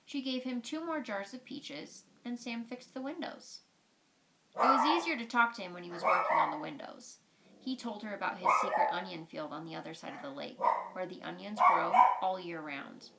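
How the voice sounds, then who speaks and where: A woman's voice: mid-range voice, very noisy. A woman reading a storybook aloud as a storyteller, explaining things in simple, informal words.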